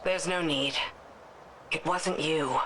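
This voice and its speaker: An actress playing a composed, steadfast female military leader in a video game, speaking with calm authority. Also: low voice, takes it slowly. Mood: reassuring. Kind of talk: dramatic video game acting. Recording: clearly noisy ambience behind.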